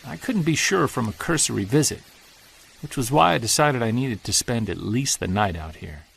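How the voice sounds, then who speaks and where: An actor playing a wistful separated man who hunts Bigfoot, narrating his audio-drama field journal in a creaky, conversational, earnest voice. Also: mid-range voice, expressive, moderate pace, fairly bright tone, exaggerated voice. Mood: earnest, reflective.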